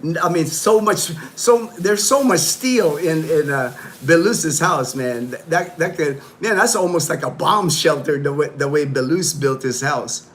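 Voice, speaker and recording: A man's voice: fairly high pitch, animated, unhurried, fairly bright tone, slight background noise. A man hosting a casual livestream from his home, rambling in loose talk full of "you know", "like" and "man". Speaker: livestream host.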